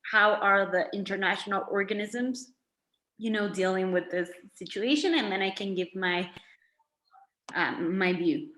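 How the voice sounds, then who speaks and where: A young adult woman student from Mexico, talking through a class discussion in a measured, thoughtful way with some hesitations. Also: medium pitch, fairly bright tone. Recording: echoey room.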